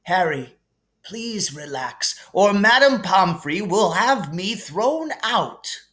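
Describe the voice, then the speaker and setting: Man voicing slow, lilting kindly old wizard, pitched up. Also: very animated, full character voice. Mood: calm, soothing. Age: older. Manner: unhurried, gently formal, drawn-out phrasing. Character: kindly, whimsical, calm elderly male wizard headmaster. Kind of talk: audiobook character reading.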